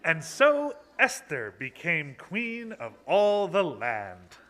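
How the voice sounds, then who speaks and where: A man narrates a children's puppet show in simple, theatrical phrases. His voice is a bit high and very expressive, with a bright edge and an unhurried pace. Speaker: storyteller, narrator. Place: social hall, live broadcast.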